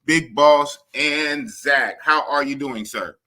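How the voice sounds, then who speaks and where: Man, live stream host, very bright and sharp tone, animated, rambling. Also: fairly high pitch, slow pace. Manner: animated and rambling, restarts and repeats himself. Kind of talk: casual live stream chat.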